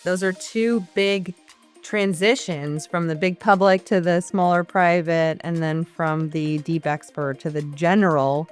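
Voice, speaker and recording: A woman's voice: lowish voice, fairly even intonation, a little slow, clean tone, soft background music. A woman hosting an interview, asking conversational questions and responding in an affirming, encouraging way. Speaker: interviewer, host.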